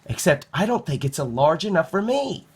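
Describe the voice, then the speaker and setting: A man voicing a brisk, knowing woman head librarian who talks in an instructive, matter-of-fact way in a children's storybook. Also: on the high side, very animated, breathy edge, voice pushed up. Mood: grave, explanatory. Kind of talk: children's storybook read-aloud.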